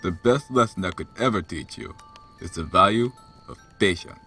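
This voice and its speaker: A man's voice: moderate pace, pushed and bright, husky, soft background music, heavily acted. A man playing a big, easygoing, jovial god in an audio drama, with a hearty, teasing manner. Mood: relaxed, mellow. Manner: booming, laughing, leisurely teasing.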